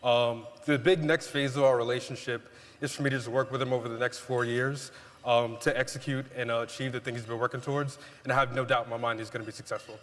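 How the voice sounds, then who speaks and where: A man speaking as a mentor on a panel, calm and matter-of-fact. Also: medium pitch, fast talker. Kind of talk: panel answer. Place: large event hall. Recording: long hall reverb.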